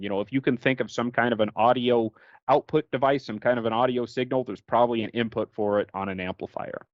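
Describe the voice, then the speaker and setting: Male technical trainer, American, mid-pitched, conversational slide-course delivery on a dry, close, slightly lo-fi recording. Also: no echo. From United States. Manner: conversational, colloquial, explains with everyday examples. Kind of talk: informal explanatory slide lecture.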